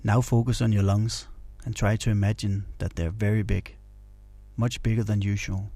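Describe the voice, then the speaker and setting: A man guiding a meditation in a calm, soothing, instructional way. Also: fairly low pitch, moderate pace, no echo. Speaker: meditation guide. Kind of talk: guided meditation narration.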